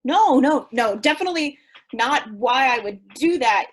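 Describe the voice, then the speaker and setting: An actress playing an awkward, flippant, naive young person who talks in casual, hesitant phrases in a staged play reading. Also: female voice, high-pitched, animated, normal speed.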